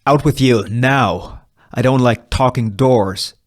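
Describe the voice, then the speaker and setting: A man's voice: mid-range voice, slow, lightly acted. Man acting a curt, barking gang boss. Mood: commanding. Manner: curt, barking commands and questions. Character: stern commanding gunman boss, adult man, impatient.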